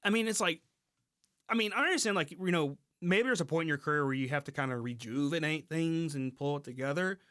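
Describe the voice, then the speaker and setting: A man's voice: fairly high pitch, a little fast, a bit bright, no room sound, studio quality. A man co-hosting a podcast, chatting casually and asking questions. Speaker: podcast co-host.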